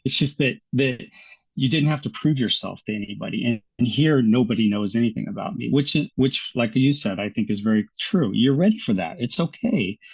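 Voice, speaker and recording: An American man talking as a guest in a casual interview, easygoing and quick to laugh, often restarting his sentences. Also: mid-range voice, a little mellow, slightly rough, muffled recording. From United States.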